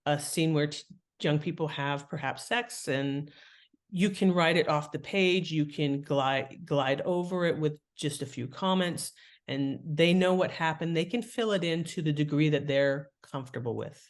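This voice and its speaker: A woman talking as an author and interview guest, explaining writing craft in an animated, enthusiastic way. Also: low voice, moderate pace.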